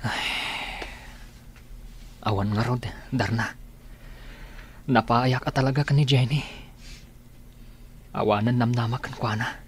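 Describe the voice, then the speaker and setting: A man acting a concerned, questioning character in Ilocano radio drama, with a husky voice and an Ilocano accent. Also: medium pitch, fairly even intonation, slow, pauses often. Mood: dismayed.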